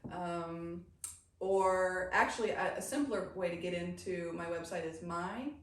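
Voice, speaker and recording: Middle-aged American woman, animated interview guest and coach, casual storyteller, recorded off-mic in a heavily reverberant room. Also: on the low side, measured pace. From grew up in the United States. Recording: very echoey room, distant mic, faint noise.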